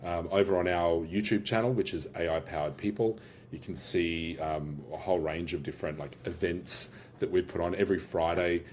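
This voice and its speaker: An Australian man with a very low voice walks through a software demo. He talks casually and often restarts his sentences. He fills the pauses with "uh" and "um". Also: steady tone, a little hiss or hum. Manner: casual, with frequent restarts and filler words. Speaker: host of AI workshops and business owner. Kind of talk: screen-share product demo.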